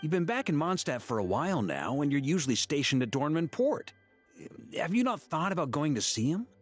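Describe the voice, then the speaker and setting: A man's voice: on the high side, big pitch swings, a little fast, breathy edge, faint music behind. A man playing an exasperated but good-natured veteran knight commander in a game, authoritative and paternal. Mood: paternal, firm. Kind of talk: game voice acting.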